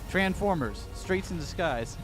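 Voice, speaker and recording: Lively, higher-pitched man streaming a game. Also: measured pace, music in the background, rough recording. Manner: joking, playful asides. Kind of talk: casual live gameplay commentary.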